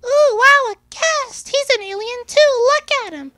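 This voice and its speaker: A man's voice: very high voice, measured pace, no room sound, studio quality, voice pushed up, heavily acted. A man voicing a hyperactive, gushing young female cartoon pony in a story reading. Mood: thrilled. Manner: gushing, excitable. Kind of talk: dramatic fan-fiction reading.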